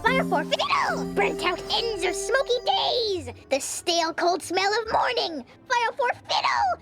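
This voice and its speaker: Tiny fire elf, video game, mischievous chant, wildly swooping pitch, loud music. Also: very animated, measured pace, exaggerated voice. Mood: gleeful, manic. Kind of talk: video game creature acting.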